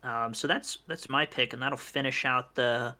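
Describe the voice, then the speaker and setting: A young man co-hosts a casual chat podcast with a bright, forward tone. He rambles, filling gaps with ums and uhs and restarting his sentences. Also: mid-range voice, moderate pace. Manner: rambling with many fillers and restarts. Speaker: podcast co-host.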